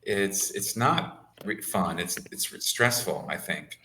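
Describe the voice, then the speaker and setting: An American man tutoring English over a video call, speaking simply and clearly for a learner. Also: deep voice, lively intonation, bright, forceful tone, slight background noise. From United States. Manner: slow, simple phrasing for a learner. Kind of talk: casual conversation lesson. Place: home in Saudi Arabia.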